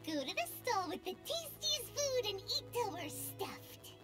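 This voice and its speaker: A tiny, excitable fairy companion who is always thinking about food. Her voice is extremely high and squeaky and jumps around eagerly. She sits over loud game music. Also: measured pace, exaggerated voice. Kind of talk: video game voice acting.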